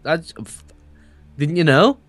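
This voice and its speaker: A man's voice: fairly high pitch, expressive, a bit bright. A young man playing Sam, a cheerful ghost hotel worker, in playful improvised banter. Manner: playful, joking, quick banter with laughs. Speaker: roleplay voice actor. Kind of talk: improvised Minecraft roleplay. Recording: dry close mic with faint game music.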